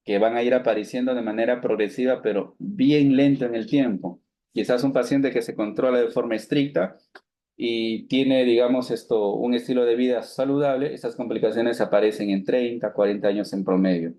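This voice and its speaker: A man's voice: medium pitch, fairly even intonation, normal speed, warm tone. A man in his thirties from Peru giving a medical lecture to students, explaining step by step, asking and answering his own questions, with many fillers and restarts. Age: 30s. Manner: many fillers like digamos and esto, frequent restarts. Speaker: physician lecturer.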